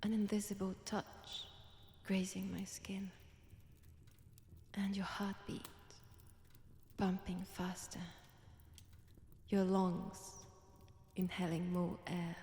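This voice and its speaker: Breathy French vampire seductress, acted by a woman, slow and menacing. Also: mid-range voice, level tone, darkish tone, full character voice. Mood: hushed, menacing. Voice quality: breathy, often dropping toward a whisper. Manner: slow, teasing, menacing, deliberate pauses. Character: seductive, predatory female vampire toying with her captive. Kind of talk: intimate audio roleplay, addressed to the listener.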